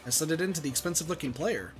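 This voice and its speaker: Man voicing an earnest, quick-talking schoolboy hero. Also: on the high side, faint music behind, close to an everyday voice. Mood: uneasy. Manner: dramatic, intense. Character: earnest, anxious teenage schoolboy hero narrating his ordeal. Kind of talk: reading visual novel aloud in character.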